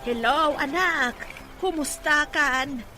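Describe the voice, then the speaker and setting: A woman's voice: high voice, slow, music in the background. A woman playing a protective, outspoken older mother in an Ilocano audio drama. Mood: motherly. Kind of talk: Ilocano radio-style drama acting.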